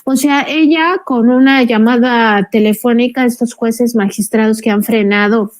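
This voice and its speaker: A woman's voice: a little high, fairly even intonation, unhurried. A woman with a Mexican accent speaking as a journalist in a political panel discussion, emphatic and critical, repeating herself as she builds her point.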